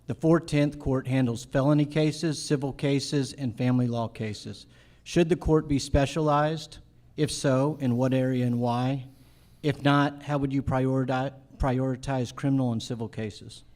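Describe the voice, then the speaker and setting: A man with a medium-pitched voice moderates a candidate forum. He is formal, handing over turns and reading questions aloud. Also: fairly even intonation, unhurried, darkish tone.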